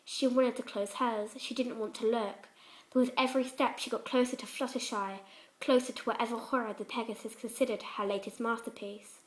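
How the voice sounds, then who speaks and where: A woman's voice: a little high, fairly even intonation, a little fast, rough recording. A woman reading fan fiction aloud as a dramatic scripted story narration.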